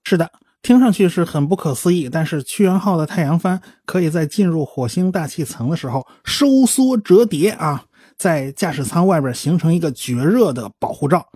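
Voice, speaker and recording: Actor as a husky, eager male space commentator. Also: fairly high pitch, animated, moderate pace, warm tone, no echo, clean studio sound. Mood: eager explaining. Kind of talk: live TV commentary in a radio drama.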